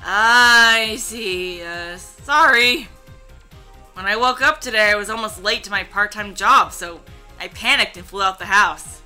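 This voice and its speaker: Casting: woman playing good-hearted, careless grandson in his twenties, grumbling, bright voice, game hero. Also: medium pitch, measured pace, faint music behind. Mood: sheepish, defensive. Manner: grumbling, apologetic, casual.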